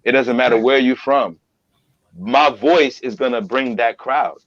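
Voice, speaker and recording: A man's voice: mid-range voice, measured pace. Earnest man with dull lo-fi sound. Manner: earnest, repeats phrases for emphasis. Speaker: podcast guest, voiceover artist. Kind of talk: casual interview talk.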